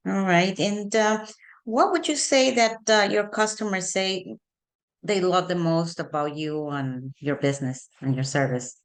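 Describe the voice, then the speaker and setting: A woman's voice: on the low side, a little slow. A woman hosting an interview show, introducing her guest and asking questions in a friendly, chatty way with frequent uhs and ums. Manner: friendly, chatty, hesitant fillers. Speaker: podcast host, real estate broker.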